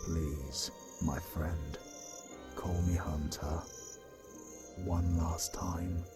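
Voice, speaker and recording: A man acting a weary, remorseful ancient god in a fantasy audio drama, speaking solemnly and gravely. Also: low voice, flat delivery, drawn-out words, over music. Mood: sorrowful, solemn. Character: weary, remorseful ancient male creator god turned demon hunter.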